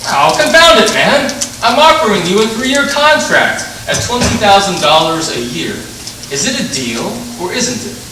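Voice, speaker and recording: A man's voice: high voice, big pitch swings, normal speed. An actor playing a domineering, scheming rich old businessman in 1940s radio style, wheedling and overbearing. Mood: impatient, irritated. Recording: roomy stage, distant mic, faint noise.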